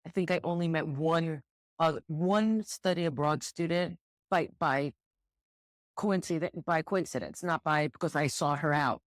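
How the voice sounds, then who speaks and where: A woman's voice: sits low, a little slow, dry recording. Candid middle-aged woman, dry sound. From United States. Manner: thoughtful, candid, repeats words while searching. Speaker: guest, director of student housing. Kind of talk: relaxed interview conversation.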